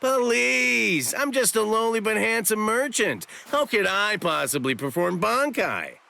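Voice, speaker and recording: An actor playing a sly, breezy shopkeeper in an anime game dub, with a glib, theatrical, playful way of talking. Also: male voice, sits high, very animated, measured pace, creaky, pushed and bright, full character voice. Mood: playful, teasing. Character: sly, breezy shopkeeper hiding great power, cheekily self-flattering.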